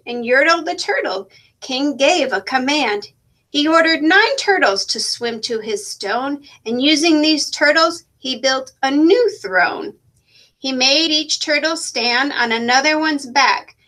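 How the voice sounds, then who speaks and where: A woman narrates a children's story aloud. Her voice is high and bright, and she takes her time over the lines. The delivery is expressive, in the manner of a teacher reading to young children.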